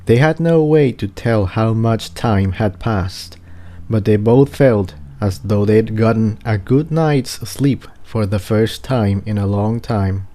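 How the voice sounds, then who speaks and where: Slow, low-voiced man reading a story. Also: mellow. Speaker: amateur fan fiction audiobook reader. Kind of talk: scripted story narration.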